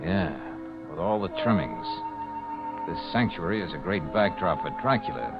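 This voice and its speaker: Low, wry American detective. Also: male voice, wildly swooping pitch, normal speed. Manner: dry, wisecracking. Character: wry, unflappable male private detective, breezy and tough. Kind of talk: old-time radio drama acting. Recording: muffled old radio with loud music.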